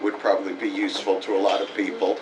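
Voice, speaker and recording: A committee member at a public meeting giving measured, deliberate opinions. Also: male voice, deep voice, flat delivery, a loud crowd. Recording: distant mic with background noise.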